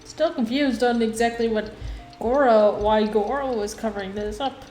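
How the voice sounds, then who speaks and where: Echoey woman streamer, higher-pitched. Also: fairly even intonation, moderate pace, darkish tone, faint music behind. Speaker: game streamer. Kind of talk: casual live-stream commentary.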